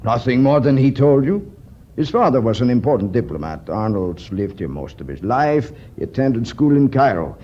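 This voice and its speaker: Husky, scholarly archaeologist. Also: male voice, mid-range voice, very animated. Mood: genial, explanatory. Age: middle-aged. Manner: formal, scholarly, deliberate. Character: learned middle-aged archaeologist father, earnest, protective. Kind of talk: 1950s radio adventure acting. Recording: dull, muffled 1950s radio recording.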